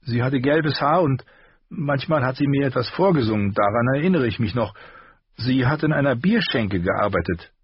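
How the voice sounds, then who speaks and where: A man voicing a shy young male blacksmith apprentice, speaking quickly and eagerly. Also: medium pitch, expressive, slightly rough, no room sound, pitched above the performer's own voice. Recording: dull lo-fi recording.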